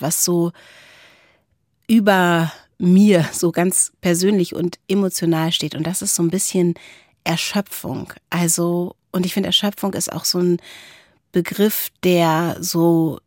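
Low-voiced reflective woman, dry sound. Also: darkish tone, dry recording. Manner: reflective, searching for words. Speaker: podcast co-host. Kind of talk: conversational philosophy podcast talk.

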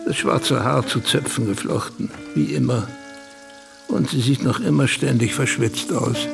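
An intimate narrator, speaks with heavy fry over clearly audible music. The delivery is quiet and observant, in radio drama style. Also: medium pitch, unhurried.

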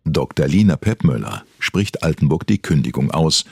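A man playing a grave, deadpan podcast narrator, reading scripted mock-documentary text in a solemn way. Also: very deep voice, normal speed, vocal fry, husky, no echo, clean studio sound, heavily acted. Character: grave male true-crime podcast narrator. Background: music under the voice.

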